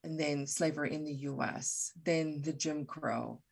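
Woman with a low raspy fry voice, podcast guest, thoughtful, lots of ums. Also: darkish tone. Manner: halting, reflective, many fillers and restarts. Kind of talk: casual podcast conversation.

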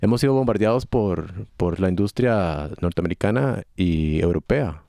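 A man's voice: deep voice, lively intonation, normal speed, vocal fry, warm tone, no echo, studio quality. A man, speaking as a panelist in a reflective way. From Costa Rica. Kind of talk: panel discussion.

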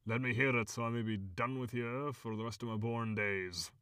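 A man voicing a tiny old leprechaun for a storybook tale, with a gruff voice and folksy, old-fashioned phrasing. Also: medium pitch, steady tone, normal speed, fairly bright tone, dry recording, clean studio sound, lightly acted. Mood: grudging, calm. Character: tiny old male leprechaun, scrappy and pleading.

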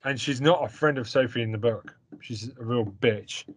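A young man talks loosely and at length, stacking fillers and restarting phrases as he goes. His pitch sits in an ordinary middle range for a man, and he holds strong opinions with a chatty, unpolished delivery. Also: moderate pace, breathy edge. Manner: opinionated and rambling, with many fillers and repeats. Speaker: podcast co-host. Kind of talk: casual chat reviewing a film.

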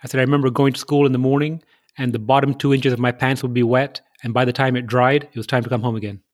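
Friendly podcast host from London. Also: male voice, medium pitch, little pitch movement, fast. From Southeast London. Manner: friendly and conversational, reads the introduction. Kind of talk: podcast interview.

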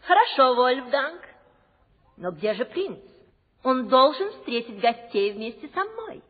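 A woman's voice: high voice, very animated, takes it slowly, breathy edge, exaggerated voice. An actress playing a stately queen mother who gives orders to her son and her servants in a classic radio play. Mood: commanding. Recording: tinny old recording with music.

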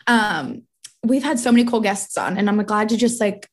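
A woman's voice: mid-range voice, animated, normal speed, a little mellow. A young woman in her twenties co-hosting a podcast, bubbly and casual, laughing often and filling her talk with "like" and "dude.". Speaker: podcast co-host. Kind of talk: casual chat.

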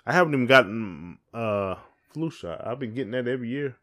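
A man's voice: medium pitch, expressive, a little fast, fairly bright tone. A man co-hosting a podcast in casual chat, rambling and repeating phrases as he thinks aloud. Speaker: podcast co-host.

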